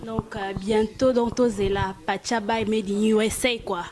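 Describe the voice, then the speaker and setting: A woman from Belgium hosting an interview, chatty, filling pauses with hesitations as she asks her questions. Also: mid-range voice, fairly even intonation, a crowd in the background. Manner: chatty, fills pauses with euh. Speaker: interview host.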